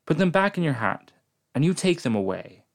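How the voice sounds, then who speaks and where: A man's voice: on the high side, very animated, normal speed, pitched above the performer's own voice. A man reading aloud as a child scolding the cat, in a sing-song listing voice.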